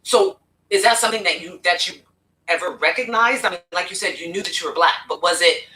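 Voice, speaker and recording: Bright-voiced woman host, roomy and distant. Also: lowish voice, a little fast. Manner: curious, chatty, asks probing questions. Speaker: podcast host. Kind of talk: casual podcast interview. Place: home studio.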